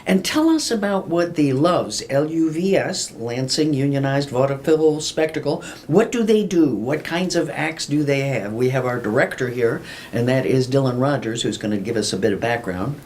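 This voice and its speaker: A woman hosting a casual radio interview, chatty and laughing easily, her voice unusually low for a woman. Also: expressive, normal speed, a little mellow. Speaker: radio show host.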